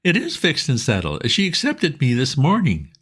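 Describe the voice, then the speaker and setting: A gushing, lovestruck young gentleman, put on by a man, pitched well above his speaker's own voice and pushing hard with every plea. His talk jumps up and down eagerly, and he sounds excitable and impatient. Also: normal speed, breathy edge, heavily acted.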